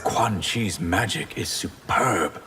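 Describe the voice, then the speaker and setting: An actor playing a proud, sardonic sorcerer in a fighting game, delivering wry, haughty challenges. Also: male voice, deep voice, slow. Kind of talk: video game fight dialogue. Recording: long reverb, noisy game audio.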